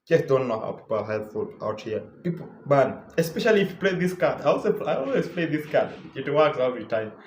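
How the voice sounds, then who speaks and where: A man's voice: mid-range voice, very animated, brisk, few pauses, a little hiss or hum. Chatty Kenyan man, echoey room. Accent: Kenyan English. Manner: loose and chatty, lots of repeats and fillers. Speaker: travel vlogger. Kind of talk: casual travel advice talk.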